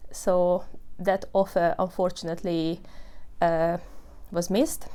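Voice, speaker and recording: This is a woman in her thirties telling her own story in English, in an earnest, unhurried way, with plenty of "you know" and "uh". Her voice sits fairly low and sounds smooth and clear. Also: flat delivery, warm tone. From Hungary. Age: 30s. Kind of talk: personal testimony.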